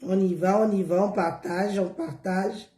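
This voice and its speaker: A hoarse woman chatting on a live stream, repeating herself and coaxing viewers along with drawn-out words. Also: medium pitch, steady tone, mellow, echoey room. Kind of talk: casual live stream chat.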